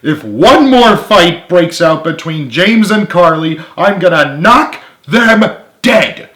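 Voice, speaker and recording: A man's voice: medium pitch, moderate pace, echoey room, natural voice. A man voicing a short-tempered young man who screams in frustration. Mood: furious. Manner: exasperated, shouting.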